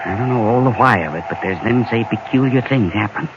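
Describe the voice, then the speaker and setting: Radio Western: male actor as superstitious rural Western customer, low drawl, folksy and ominous, very noisy vintage recording. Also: a little fast, highs cut off, lightly acted. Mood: ominous warning. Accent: American rural Western drawl. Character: spooked, superstitious frontier customer warning a stranger. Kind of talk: old radio Western acting.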